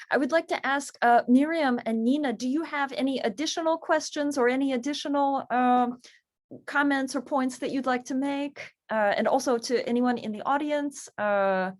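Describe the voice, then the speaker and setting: Woman moderator, higher voice, measured and kinda formal. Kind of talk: moderating a panel.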